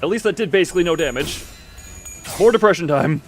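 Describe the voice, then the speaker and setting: A man's voice: on the high side, expressive, fast. Man live-streaming a game over music. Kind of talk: casual live game commentary, talking to self and chat. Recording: music playing behind the voice.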